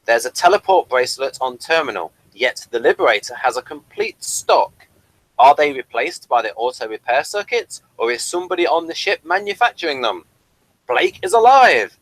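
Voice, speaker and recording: Male review host, droll delivery, bright and animated, dry recording. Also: a little high, moderate pace, no echo, poor audio. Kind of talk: wry reading of episode review notes.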